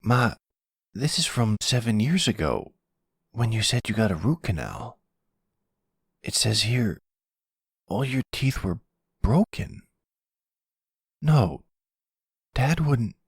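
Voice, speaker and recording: A man puts on a young guy, the troubled son in a roleplay drama. The voice sits higher, with a slightly rough grain and lively pitch. He talks in an earnest, casual, youthful way. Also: takes it slowly, long pauses, dry recording, studio quality, heavily acted. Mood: shaken, remorseful.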